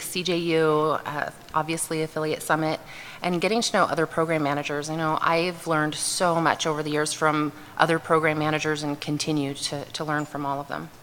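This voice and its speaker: A woman on a conference panel speaks in a low but bright, clear tone and offers practical advice with relaxed pauses. Her voice rings out through a large, echoing hall, with a faint hum of ambience behind it. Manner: relaxed, practical tips delivered with frequent pauses. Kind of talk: conference presentation.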